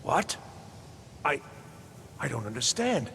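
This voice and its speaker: Fretful mage scholar, wildly swooping voice. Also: male voice, very animated, a bit bright, exaggerated voice. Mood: panicked. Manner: fretful, halting, pleading. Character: anxious, obsessive male mage scholar, self-important and pleading. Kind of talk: fantasy video game voice acting. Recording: long reverb with clear low rumbling noise.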